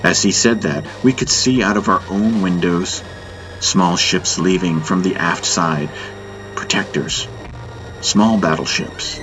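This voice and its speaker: A man narrates a science-fiction audiobook in a low, deep voice. His delivery is scripted, dramatic storytelling, and music plays clearly behind him. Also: slow.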